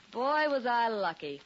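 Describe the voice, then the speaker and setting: An actress playing a wry, sardonic, gossipy receptionist in a 1940s radio drama. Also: female voice, fairly high pitch, exaggerated voice. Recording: muffled, hissy 1940s radio recording.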